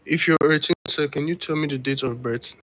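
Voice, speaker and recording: A man's voice: on the high side, fairly quick, fairly bright tone, no room sound, low-quality recording. A man acting as a trainee in a roleplay game, speaking formally and politely with "sir".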